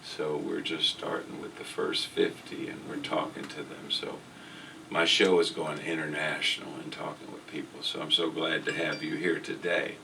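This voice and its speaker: A man hosting a casual interview, upbeat and enthusiastic, asking halting questions with restarts. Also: rumbling low, level tone, vocal fry, pushed and bright. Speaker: interview and podcast host. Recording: distant mic with faint background noise.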